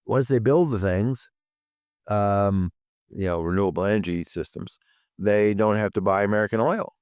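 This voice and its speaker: A man hosting a weekly security news update, talking with a rough voice, giving blunt, dry asides with frequent ums and uhs. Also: sits low, dry recording. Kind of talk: solo news commentary.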